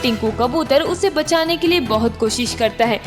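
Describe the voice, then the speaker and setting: High-voiced woman narrating a children's story. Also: expressive, normal speed. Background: music.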